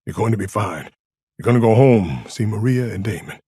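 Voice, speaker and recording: Guy doing a no-nonsense cop, dry and sardonic, bit husky, barks orders, swingy pitch. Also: expressive, brisk, mellow, dry recording. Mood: reassuring. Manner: commanding, snappy, dry. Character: stern, sardonic male police officer, protective partner. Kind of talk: audiobook character acting.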